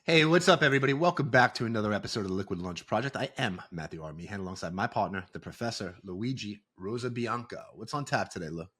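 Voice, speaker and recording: A man hosting a podcast, upbeat and energetic as he opens the show. His voice is lowish and a bit husky, and it jumps around with lively, quick delivery. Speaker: podcast co-host.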